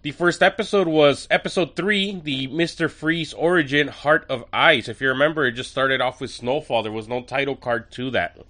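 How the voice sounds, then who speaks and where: A man's voice: mid-range voice, normal speed, hardly any pauses, bright, forceful tone. A man hosting a podcast and reviewing an episode in a chatty, enthusiastic way with wry asides, a little nasal from a cold. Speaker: podcast host.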